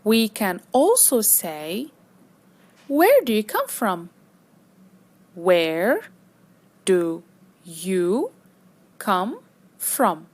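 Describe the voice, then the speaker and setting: Slow, lively-voiced woman teaching English. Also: medium pitch. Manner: slow, deliberate, over-enunciated teaching delivery. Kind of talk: slow scripted lesson narration.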